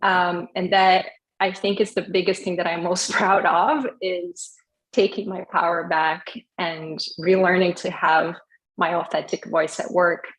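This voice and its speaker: A woman's voice: fairly low pitch, level tone, unhurried, fairly bright tone, rough recording. A woman from Brazil, speaking as a panelist, telling her career story in an earnest, reflective way with light laughs. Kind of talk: panel discussion, personal story.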